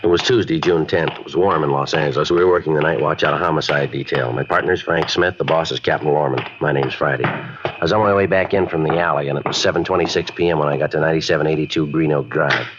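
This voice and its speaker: An actor playing a terse, businesslike police detective with clipped, deadpan delivery in a 1950s radio drama. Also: male voice, rumbling low, fast talker. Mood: matter-of-fact. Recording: muffled, noisy old radio recording.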